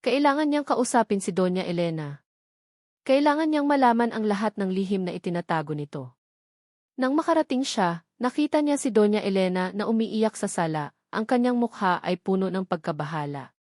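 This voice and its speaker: A woman's voice: mid-range voice, lively intonation, moderate pace, darkish tone, no echo, clean studio sound. A woman with a Filipino accent reading a scripted, dramatic story as its narrator.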